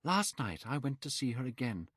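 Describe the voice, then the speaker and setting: A man voicing an excitable, lovestruck young man, speaking high and eager in a storybook reading. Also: moderate pace, husky, no echo, studio quality.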